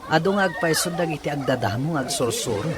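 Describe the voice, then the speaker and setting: A man's voice: a little high, animated, fast talker, noisy background, lightly acted. A man acting a hesitant yet blunt young office worker in an Ilocano radio drama, speaking with an Ilocano accent. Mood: interested, agreeable.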